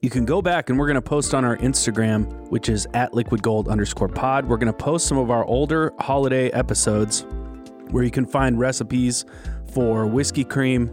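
A man's voice: mid-range voice, normal speed, a little mellow, faint music behind. A man hosting a podcast, chatting in an upbeat, casual way as he introduces the episode and his guest. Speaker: podcast host.

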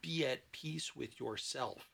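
A man speaking conversationally as a therapist on a podcast panel, blunt and emphatic, with deliberate pauses and rhetorical questions. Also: medium pitch, vocal fry, a bit bright, slightly rough. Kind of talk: conversational podcast talk with acted-out client dialogue.

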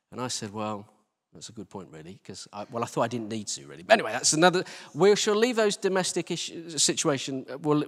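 A middle-aged man preaching through personal anecdote, in a conversational, self-deprecating way, recorded live in a church hall. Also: mid-range voice. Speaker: church team leader preaching. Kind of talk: anecdotal sermon. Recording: reverberant hall.

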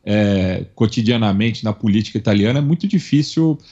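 A man with a São Paulo Brazilian accent giving conversational commentary as a podcast panelist, talking in a halting, discursive way with many tag words. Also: on the low side, lively intonation, dark tone, breathy edge. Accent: São Paulo Brazilian Portuguese. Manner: halting, discursive, frequent "né" tags. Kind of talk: conversational historical and political commentary. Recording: dull, muffled lo-fi audio.

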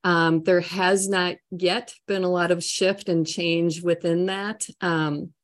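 Low-pitched Minnesotan woman, candid and talkative. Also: level tone, takes it slowly. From Minnesota, United States. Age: middle-aged. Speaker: trainer and coach for families, interview guest. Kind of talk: conversational interview answers.

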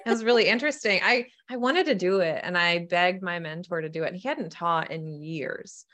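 A woman with a fairly low voice talks in a relaxed podcast chat. She is a medium, and she tells her stories with energy. She acts out each back-and-forth as she goes. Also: fairly quick. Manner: animated storytelling, acting out quoted dialogue. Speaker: spiritual medium. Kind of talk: casual podcast conversation.

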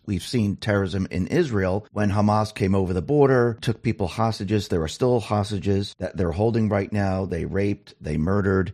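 A male host who reads upbeat ads and asks halting questions in an interview. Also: lowish voice, a little mellow, slightly rough, no room sound, clean studio sound. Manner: upbeat ad-read delivery.

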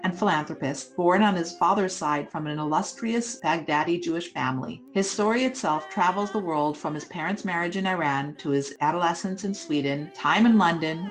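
A woman interviewer introducing her guest in a formal, even, scripted way. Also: on the low side.

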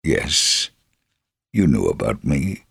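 Slow, gravelly low-voiced man telling a story. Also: big pitch swings, a bit bright, no room sound, clean studio sound. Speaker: story reader. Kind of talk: scripted narration of a first-person testimony.

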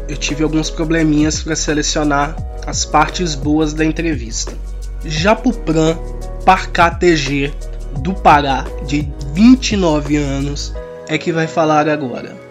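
A man hosting a podcast, calmly introducing a guest interview and explaining the episode. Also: on the high side. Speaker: podcast host.

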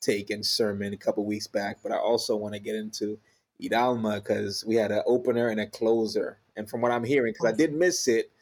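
A man hosting a faith podcast, speaking in a relaxed, casual way with frequent fillers. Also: on the low side, brisk. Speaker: podcast host.

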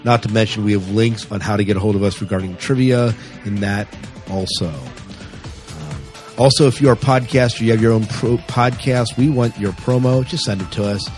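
Male podcast host, fairly low voice. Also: moderate pace, darkish tone, soft background music. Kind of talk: casual podcast outro chat.

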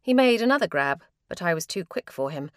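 Expressive woman reading a story, dry sound. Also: on the low side, fairly quick, dry recording. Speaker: short story reader. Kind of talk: expressive scripted first-person narration.

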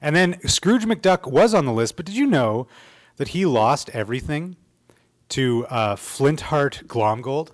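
Casual male podcast co-host, mid-pitched. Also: expressive, unhurried. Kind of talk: casual conversation about films.

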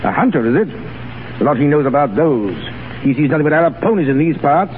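An actor playing a gruff, hearty, condescending military commandant in 1930s radio style. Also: male voice, on the high side, lively intonation, brisk, natural voice. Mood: bluff, condescending. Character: bluff, jovial, condescending Legion commandant. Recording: tinny, noisy 1930s radio recording.

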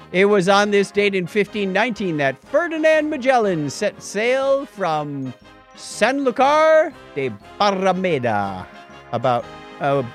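A man with a high-pitched voice that swings up and down animatedly. He hosts a podcast with playful, theatrical banter, moving between casual chat and reading segments. Faint music plays behind him. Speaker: podcast co-host.

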